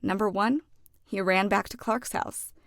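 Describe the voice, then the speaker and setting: A woman's voice: medium pitch, steady tone, a little slow, fairly bright tone, no room sound. Woman reading a storybook, dry close sound. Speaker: children's book read-aloud narrator. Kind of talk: expressive storybook narration.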